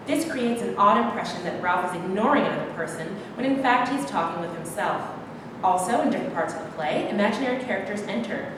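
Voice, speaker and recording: A woman's voice: mid-range voice, expressive, fast. A woman reading scripted stage directions as the narrator of a play reading. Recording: echoey hall, distant mic, noisy.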